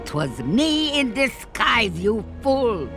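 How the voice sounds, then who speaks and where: A woman's voice: on the high side, big pitch swings, takes it slowly, full character voice. A woman voicing a scheming, spiteful sorceress duck who speaks broken English without articles, over game music. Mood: gloating.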